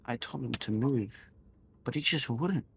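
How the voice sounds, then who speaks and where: A man telling improvised stories casually, rambling and halting, often repeating his phrases. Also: mid-range voice, gravelly, background noise. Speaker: storyteller in a gaming video. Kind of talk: casual improvised storytelling while gaming.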